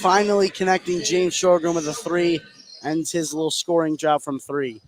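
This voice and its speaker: A man's voice: a little high, fairly even intonation, moderate pace, poor audio. A man doing live basketball color commentary, adding conversational, analytical remarks. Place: indoor high school basketball gym.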